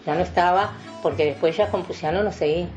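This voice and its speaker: Older Uruguayan woman with a low voice. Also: a little fast, darkish tone, faint music behind. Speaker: veteran radio announcer being interviewed. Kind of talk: reminiscing in a radio interview.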